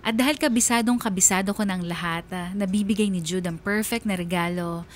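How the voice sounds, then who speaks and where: An actress playing a wistful young woman who narrates a confession in a measured, confiding radio drama style. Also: mid-range voice, a little hiss or hum, close to an everyday voice. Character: wistful young woman confessing secret love for best friend's girlfriend. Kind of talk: first-person radio drama letter narration.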